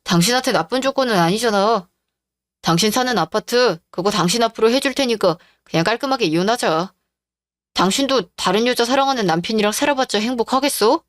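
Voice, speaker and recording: A sneering, bullying husband in a radio drama, voiced by a woman who drops her pitch. He talks down to everyone with cold, rude contempt, and the recording is dry and close. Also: a little fast, no room sound, close to an everyday voice. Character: callous cheating middle-aged husband.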